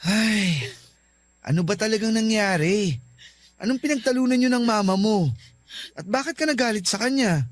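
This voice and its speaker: A man's voice: high voice, moderate pace, pauses often, dry recording, soft background music, lightly acted. Actor playing an earnest, pleading young man. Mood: concerned, puzzled. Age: young adult. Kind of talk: Tagalog radio love drama acting.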